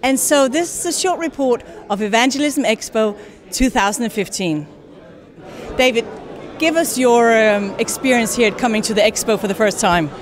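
A woman's voice: on the high side, expressive, moderate pace. A woman reporter delivering event narration and putting interview questions to guests.

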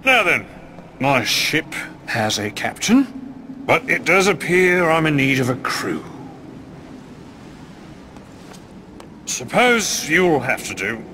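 An actor playing a sly, theatrical English pirate captain in a video game, with a slurred, roguish, swaggering delivery. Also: male voice, on the high side, sing-song, measured pace, pushed and bright, slight background noise, heavily acted. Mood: wry, bargaining. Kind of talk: video game cutscene acting.

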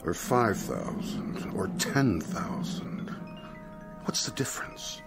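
An actor playing a suave devil who coaxes like a genteel salesman, with drawn-out theatrical phrasing, in a radio drama. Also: low-pitched, huge pitch swings, gravelly, over loud music, heavily acted. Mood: persuasive.

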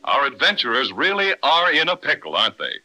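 A man's voice: medium pitch, big pitch swings, brisk, noisy background. A man narrating a children's serial in scripted 1930s radio style. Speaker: announcer, narrator.

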